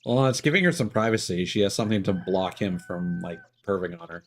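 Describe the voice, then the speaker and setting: A man's voice: low voice, fairly quick, noisy background. A man giving casual, jokey commentary while streaming a video game. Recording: game music behind the voice. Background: video game music.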